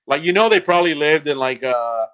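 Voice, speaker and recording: A man with a fairly high voice talks casually as a podcast host. The recording is a little dull and lo-fi. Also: animated, brisk, a bit bright, smooth. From Miami, Florida. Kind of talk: casual chat. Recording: dull, band-limited podcast audio.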